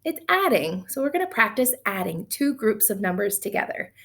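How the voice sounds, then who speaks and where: A woman's voice: mid-range voice, sing-song, normal speed, gravelly, a bit bright. A woman teaching young children in an encouraging, sing-song way, asking them questions as she counts, chants and tells simple stories.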